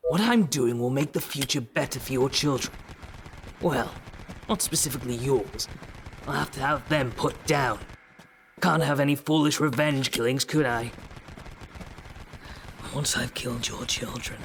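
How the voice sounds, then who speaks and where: A man's voice: medium pitch, a little slow, long pauses, slight background noise, lightly acted. A man playing a sneering, ruthless megalomaniac villain in a sci-fi audio drama, gloating and condescending. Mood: gloating, menacing.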